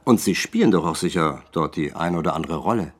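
An actor playing a senior police detective in a radio play, questioning with dry, formal politeness. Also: male voice, a bass voice, lively intonation, a little fast. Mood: probing, polite. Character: senior police detective, probing, dryly sarcastic interrogator.

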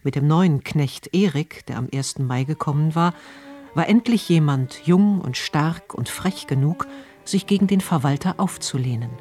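A man's voice: fairly high pitch, normal speed, a little mellow. A man presenting on radio, reading a scripted recap of a drama. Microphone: close studio microphone.

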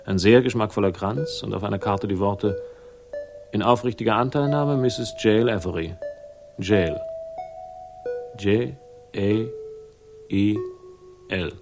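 A man's voice: on the low side, gravelly. An actor in a 1960s crime radio play, playing a wry, laconic man out to avenge his sister and narrating it himself. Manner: dry, sardonic, laconic. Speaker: lead character and first-person narrator.

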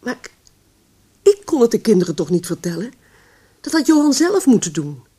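Bewildered widowed mother, middle-aged, husky, radio drama, grieving. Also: on the high side, big pitch swings, moderate pace, mellow. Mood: subdued, sorrowful.

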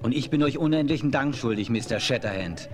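An actor playing a polite police officer in a radio play, courteous but doubtful. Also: male voice, mid-range voice, lively intonation, a little fast, natural voice. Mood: grateful. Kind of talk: 1970s radio play acting.

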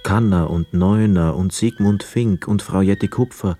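An actor playing a brooding, hesitant ex-prisoner of war, telling his story in a measured, introspective way in a radio drama. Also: deep voice, dark tone, slightly rough. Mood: dreamy, sombre. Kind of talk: literary radio drama narration and dialogue. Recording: close dry studio, music behind. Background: music.

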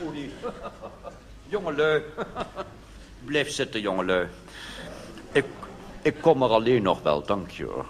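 A man playing a doddering, old-fashioned fellow in a cabaret monologue, halting and repeating himself. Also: mid-range voice, sing-song. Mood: halting, homely. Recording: hissy old live theatre recording, long reverb.

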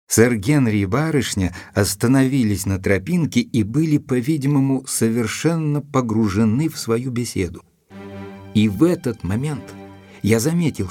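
Composed gentleman narrator, lowish male voice, measured detective audiobook storytelling, music behind. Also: slightly rough.

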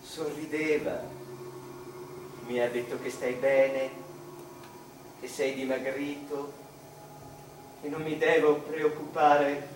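Slow, tender mother. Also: a little high, level tone, slight background noise. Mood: tender, dreamy. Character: gentle, dreamy mother comforting her adult son. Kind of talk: theatrical radio drama monologue. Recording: echoey room, distant microphone, music behind.

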